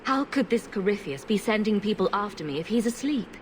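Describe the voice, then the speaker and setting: An actress playing a calm, compassionate, questioning heroine in a fantasy video game. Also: female voice, mid-range voice, a little fast, slight background noise, close to an everyday voice. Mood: concerned. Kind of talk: video game cutscene acting.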